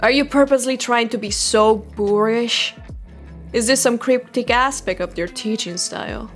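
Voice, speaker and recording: A woman's voice: fairly high pitch, moderate pace, lightly acted. A woman playing a brash, foul-mouthed fairy-tale princess, sardonic and blunt, in a comic dub. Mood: sardonic, gossipy. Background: soft music.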